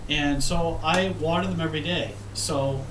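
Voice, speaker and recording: A man's voice: a little high, normal speed. Stuffy-nosed man on a windy patio. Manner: rambling, self-correcting, frequent ums. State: stuffed up from a head cold. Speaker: gardening vlogger. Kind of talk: casual talk to camera. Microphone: camera mic from a few feet away. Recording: roomy, distant camera audio with wind noise.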